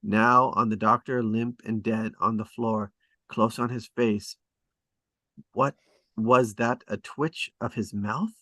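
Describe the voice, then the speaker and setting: A man reading screenplay stage directions as scripted narration, with deliberate dramatic emphasis. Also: lowish voice, fairly even intonation, bright, forceful tone, no room sound. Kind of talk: scripted narration at a table read.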